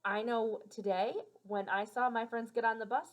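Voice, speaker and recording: A woman's voice: on the high side, fairly even intonation, normal speed, rough recording. A woman teacher making conversational comments for young children during a read-aloud, prompting them in simple phrasing.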